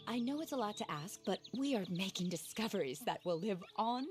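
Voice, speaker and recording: An actress playing an eccentric, passionate naturalist in a video game, with a well-spoken, theatrical, earnest delivery. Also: female voice, medium pitch, animated, brisk, music in the background, natural voice. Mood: rapturous. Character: eccentric, passionate female frontier naturalist, indignant animal lover. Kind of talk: video game cutscene acting.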